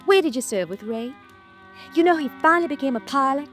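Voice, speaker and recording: A woman's voice: high voice, lively intonation, fairly quick, fairly bright tone, faint music behind. A woman acting a young, gracious, fragile American widow in a 1950s radio drama, hesitant and polite. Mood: gracious, chatty. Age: young adult.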